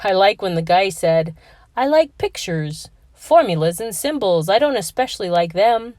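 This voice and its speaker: A woman voicing a shy, bookish schoolboy in a children's storybook read-aloud, speaking gently and a bit apologetically. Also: mid-range voice, animated, normal speed, no echo, studio quality, close to an everyday voice. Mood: sheepish.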